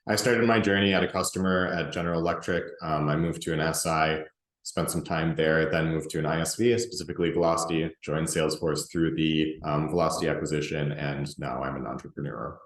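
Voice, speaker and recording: A man from Russia who grew up in the US, giving a recorded slide presentation alone, relaxed and conversational with frequent ums and restarts. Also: extremely low pitch, roomy sound. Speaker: presenter and group organizer.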